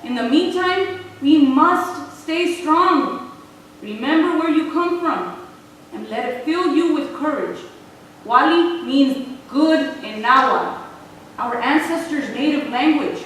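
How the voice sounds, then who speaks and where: A woman playing a wise, steadfast Mexican American grandmother in a staged reading, speaking in measured, earnest English mixed with Spanish. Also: high-pitched, animated, a bit bright, heavily acted. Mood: consoling, steadfast. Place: theater stage, recorded from the audience. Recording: echoey hall from far off, faint noise.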